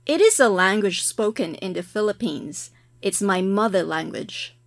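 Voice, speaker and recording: Female dialogue reader for a language-exam recording, measured and careful, mid pitch, dry close sound. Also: lively intonation, dry recording. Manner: slow, careful textbook reading. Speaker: listening test reader. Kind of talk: scripted listening exam dialogue.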